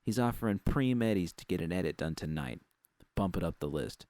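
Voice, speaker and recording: A man's voice: deep voice, lively intonation, brisk, darkish tone, no room sound. A man voicing a brash, pushy female bar boss with a curt, huffy manner in an audiobook-style story. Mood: bossy.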